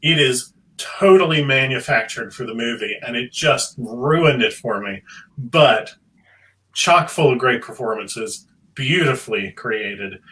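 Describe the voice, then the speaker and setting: Bright-voiced man, emphatic panelist. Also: medium pitch, low-quality recording. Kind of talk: casual panel discussion about films.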